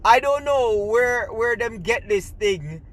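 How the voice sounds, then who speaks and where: A man from Jamaica who keeps his Jamaican accent talks straight to his audience in a heated, rambling rant. His voice sits high and sounds bright and tense. He restarts his sentences often as the feeling runs ahead of the words. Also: animated, takes it slowly. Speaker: gaming channel host. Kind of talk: casual ranting commentary to camera.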